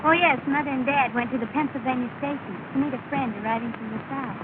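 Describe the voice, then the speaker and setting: Teasing, sporty young woman female actor, high register, crackly noisy 1930s film audio. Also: fast talker, narrow, tinny audio, natural voice. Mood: playful.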